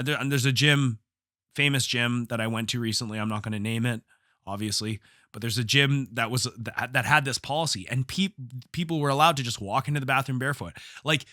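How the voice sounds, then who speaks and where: A man hosting a solo podcast as a coach, telling stories in blunt, profane, slangy talk, slightly hoarse from a cold. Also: mid-range voice, bright, forceful tone, no echo, clean studio sound. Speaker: podcast host, jiu-jitsu coach and gym owner. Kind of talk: casual solo podcast storytelling.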